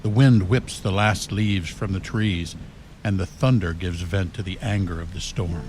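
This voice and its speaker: An actor playing a grieving, uneasy man who narrates a radio ghost story in an ominous, halting way. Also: low voice, a little mellow, slight background noise. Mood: ominous grief. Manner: slow, ominous, halting with dread. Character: grieving, uneasy man reading a dead friend's diary. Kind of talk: radio horror drama narration.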